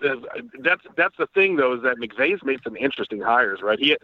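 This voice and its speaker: A man rattles off analysis as a podcast guest, quick and loose with the odd curse. His voice comes through narrow and phone-like, with no room around it. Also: medium pitch, lively intonation, no room sound. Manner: fast-talking, analytical, swears casually. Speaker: podcast guest, team site writer. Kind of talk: casual podcast chat. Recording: tinny dry phone-line audio.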